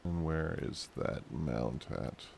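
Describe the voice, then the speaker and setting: A man's voice: extremely low pitch, slow, creaky, darkish tone, slight background noise. A man giving casual gameplay commentary as a game streamer.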